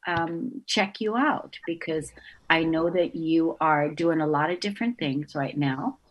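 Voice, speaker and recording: Woman, low voice, guest host, spiritual talk radio, earnest, reflective, pauses on ums, remote call. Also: rough recording.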